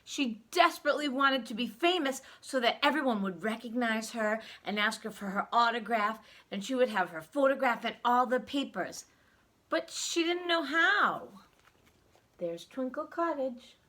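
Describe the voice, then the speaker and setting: Animated, bright-voiced woman reading to children. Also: a little high, normal speed. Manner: expressive, dramatic emphasis, asks the listener questions. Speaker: children's story reader. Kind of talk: animated read-aloud storytelling for young children.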